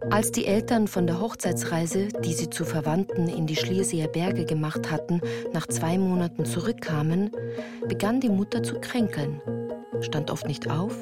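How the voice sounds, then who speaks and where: An actress plays a woman looking back on a harsh childhood. Her voice sits a little low and moves in composed, literary storytelling. Clear music accompanies the radio play narration. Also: normal speed, lightly acted.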